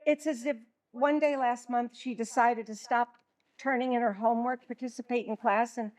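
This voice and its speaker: Woman acting a hesitant, high-voiced teacher. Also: fairly even intonation, normal speed, darkish tone, close to an everyday voice. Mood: aggrieved, careful. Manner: hesitant, stammering, diplomatic. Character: earnest, flustered high school teacher, woman. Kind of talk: stage play acting.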